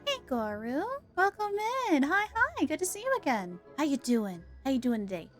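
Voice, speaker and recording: A woman livestreaming a game with casual, playful, expressive commentary for her viewers. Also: high voice, normal speed. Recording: game music behind the voice.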